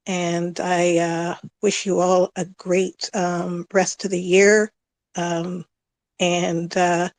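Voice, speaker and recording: A woman thanking listeners in an online chat, speaking in a grateful, soft-spoken, halting way. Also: lowish voice, no room sound. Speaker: guest speaker. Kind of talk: casual chat.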